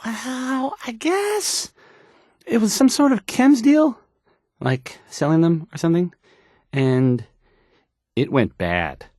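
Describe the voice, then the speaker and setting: A man's voice: sits high, big pitch swings, takes it slowly, pauses often, a little mellow, no room sound, clean studio sound, exaggerated voice. An actor playing a shy, awkward young radio DJ in a game, stammering and trailing off as he hesitantly reads the news.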